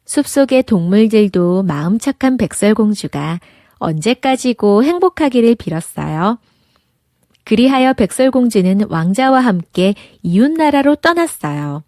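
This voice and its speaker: A woman narrating a children's picture book as an expressive storyteller. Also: medium pitch, measured pace, no echo, studio quality. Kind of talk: children's fairy tale narration.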